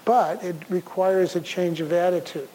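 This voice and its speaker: A soft-spoken older man speaks to a room in a relaxed, conversational way, full of small fillers and throat clearing. His voice is mellow and warm. His delivery is unhurried and plain. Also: sits high, a little hiss or hum, poor audio. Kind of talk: conference talk.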